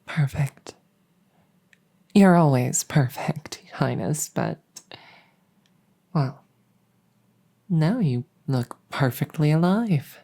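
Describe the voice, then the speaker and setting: A woman playing a devoted, doting vampire maid in a soft-spoken ASMR roleplay, gentle and deferential, often slipping into a whisper. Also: deep voice, animated, exaggerated voice. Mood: adoring, attentive. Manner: gentle, unhurried, deferential, formal.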